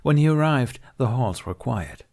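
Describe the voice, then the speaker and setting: A man's voice: mid-range voice, lively intonation, moderate pace, vocal fry, a little mellow. A man reading a scripted story as an audiobook narrator, in a dramatic narrative style.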